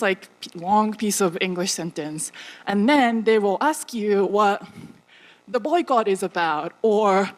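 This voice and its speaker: In a large hall with long reverb, a woman with a Japanese accent speaks to an audience. Her voice sits at a medium pitch. She tells her story in a chatty, informal way, as a conference speaker on stage. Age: 30s. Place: large auditorium stage, indoors.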